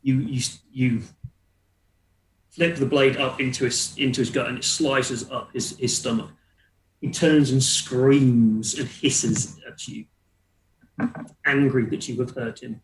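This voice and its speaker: A man's voice: medium pitch, fairly even intonation, slow, long pauses. A man running a tabletop roleplaying game as game master, narrating hesitantly and repeating words as he improvises. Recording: echoey room.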